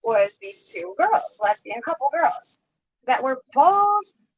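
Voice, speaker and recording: A woman's voice: sits high, very animated, slow pace, vocal fry, poor audio. A woman telling a rambling story, hedging often and breaking into little laughs. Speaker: storytime YouTuber.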